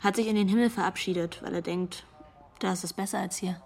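A woman's voice: mid-range voice, steady tone, brisk, vocal fry, darkish tone, soft background music. An actress playing a frank, troubled young girlfriend giving testimony in a radio drama, blunt and confiding. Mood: wistful, anxious. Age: young adult.

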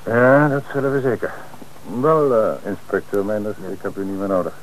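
An actor playing a calm, authoritative police commissioner in an old radio play, on a noisy old radio recording. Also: male voice, lowish voice, lightly acted. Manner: measured, authoritative. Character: middle-aged male police commissioner, calm and dry. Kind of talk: 1960s radio play acting.

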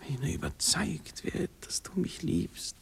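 A lovesick, easily wounded young man in an intimate radio drama. His voice is breathy and crackles with creak, its pitch rising and falling widely. He is halting and nervous, often dropping to a pleading whisper. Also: huge pitch swings, full character voice. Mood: nervous, hurt. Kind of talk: German radio drama acting, intimate dialogue.

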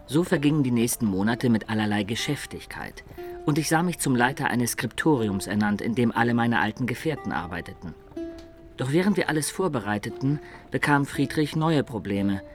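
A man's voice: medium pitch, expressive, fairly quick, lightly acted. A male actor plays a wistful storyteller in a radio drama, an adventurer looking back on his life. His voice sits in the middle range, confiding and reflective, with faint music underneath.

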